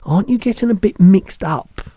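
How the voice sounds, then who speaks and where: A polite little girl in a children's storybook, played by a male narrator. The voice is lifted high, with lively rises on short, curious questions. The character sounds plucky and inquisitive. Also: moderate pace, husky, no room sound.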